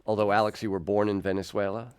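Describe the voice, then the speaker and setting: A man hosting a conversational studio music interview, asking thoughtful questions in a halting, exploratory way. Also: fairly low pitch, level tone, clean tone, dry recording. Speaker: radio host and interviewer. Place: radio studio.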